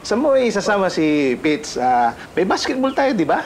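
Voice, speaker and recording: An actor playing a joking best friend with a Filipino accent. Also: male voice, high voice, big pitch swings, moderate pace, slight background noise. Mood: teasing. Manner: joking, teasing. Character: cheeky male best friend.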